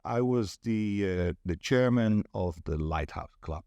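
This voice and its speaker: Very deep-voiced Dutch man in conversation. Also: lively intonation, a little slow, mellow, dry recording, dull audio. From Netherlands. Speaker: networking event organiser, interview guest. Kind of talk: conversational interview, recounting.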